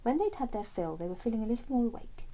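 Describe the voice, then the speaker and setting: A woman reading a children's bedtime story aloud in a gentle, expressive storytelling way. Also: fairly high pitch, quick pace, slight background noise. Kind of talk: scripted children's story narration.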